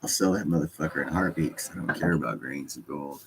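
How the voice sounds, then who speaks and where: A man's voice: extremely low pitch, fast talker, vocal fry, a little hiss or hum. A man with a slight Scottish accent hosting a casual livestream, reading chat comments and joking with loose, profane banter.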